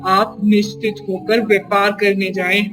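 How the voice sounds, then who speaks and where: An actor playing a sly, greedy male merchant in a Hindi moral-story cartoon, smooth and ingratiating in manner. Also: mid-range voice, little pitch movement, moderate pace, lightly acted. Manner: ingratiating in company, scheming when alone. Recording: music behind the voice.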